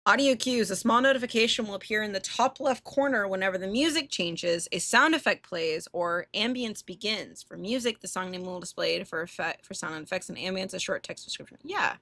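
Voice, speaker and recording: A woman hosting a casual game livestream, chatting bluntly and irreverently and reading on-screen text aloud in an animated way. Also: medium pitch, brisk, few pauses, dry recording. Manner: foul-mouthed, irreverent, blunt. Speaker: live streamer hosting a visual novel playthrough.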